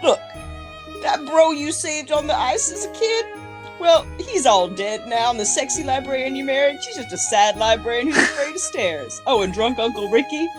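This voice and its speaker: A woman's voice: sits high, animated, normal speed, poor audio. A woman playing a laid-back, slangy slacker angel in a comic radio play, casual and flippant. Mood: nonchalant. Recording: music playing under the voice.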